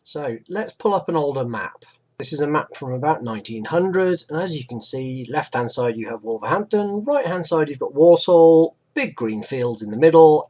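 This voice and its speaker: Chatty man. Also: on the high side. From West Midlands, England. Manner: chatty, relaxed, with fillers and asides. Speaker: history video narrator. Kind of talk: informal explanatory narration over maps.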